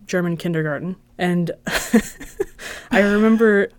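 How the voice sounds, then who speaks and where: A woman's voice: on the low side, takes it slowly, no room sound. An American woman guest telling stories of her childhood, chatty and self-deprecating, laughing often. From United States. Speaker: podcast guest. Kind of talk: casual storytelling interview.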